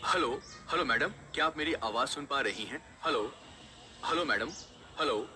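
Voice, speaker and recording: पुरुष की आवाज़ में बहुत तीखा, घबराया एम्बुलेंस ड्राइवर. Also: male voice, mid-range voice, normal speed, sharp tone, faint music behind. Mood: concerned. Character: anxious young male ambulance driver in horror tale. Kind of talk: animated horror story voice acting.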